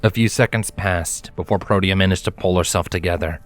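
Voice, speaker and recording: A man reading a story as dramatic scripted narration, in a measured, solemn manner. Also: low voice, steady tone. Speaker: fan fiction reader, narrator. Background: background music.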